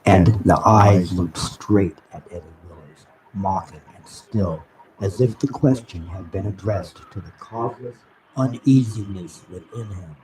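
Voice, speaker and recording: A man reading a novel aloud live as dramatic narration, with deliberate, halting phrasing. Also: deep voice. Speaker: reader of an audiobook. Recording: noisy amateur live recording with background ambience. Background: background ambient sounds.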